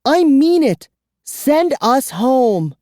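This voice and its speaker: A furious young girl played by an audiobook reader, high and shrill, insisting and protesting. her voice is pitched well up and dry, close to the mic. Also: big pitch swings, drawn-out words, warm tone, no echo, full character voice. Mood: ordering. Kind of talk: audiobook character reading.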